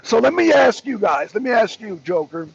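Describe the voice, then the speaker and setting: Man, high husky voice, political host, blunt and emphatic, repetitive phrasing, dull lo-fi audio. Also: sing-song, moderate pace. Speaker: political talk show host. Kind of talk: opinionated political commentary.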